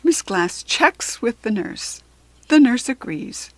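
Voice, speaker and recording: An American woman reading a children's picture book aloud in an expressive, deliberate, teacherly way. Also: a little high, no echo.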